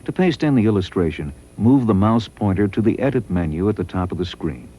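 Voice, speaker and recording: Male narrator, low mellow voice, measured instructional delivery, scripted promo read. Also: animated, low-quality recording.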